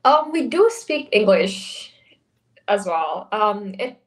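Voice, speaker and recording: A woman's voice: mid-range voice, big pitch swings, takes it slowly. A young woman from the Philippines with an American accent, chatting as an interview guest over a video call, laughing often between fillers. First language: Tagalog. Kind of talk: casual interview. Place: small indoor room, video call. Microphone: desk microphone on video call.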